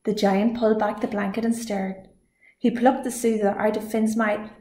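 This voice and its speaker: A woman's voice: mid-range voice, normal speed. Woman reading a story, echoey room. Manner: expressive storytelling. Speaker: librarian reading a picture book. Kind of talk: children's storytime read-aloud.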